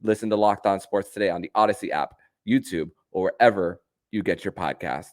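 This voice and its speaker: A man hosting a sports podcast in a conversational way, sometimes halting and stammering as he restarts his phrases. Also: low-pitched, no room sound, clean studio sound.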